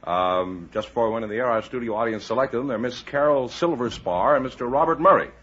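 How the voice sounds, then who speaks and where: A man's voice: mid-range voice, fast talker, a bit bright, husky. An American man announcing in formal 1950s radio showman style, presenting a show and reading sponsor copy. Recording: dull vintage radio broadcast.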